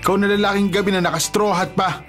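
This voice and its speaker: Casting: male actor as jealous rich Filipino villain, notably high-pitched, haughty bully, radio drama with music behind. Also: little pitch movement, fast, lightly acted. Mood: threatening. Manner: haughty, threatening. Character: arrogant, jealous rich fiancé, bullying and menacing. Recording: music bed under the voice.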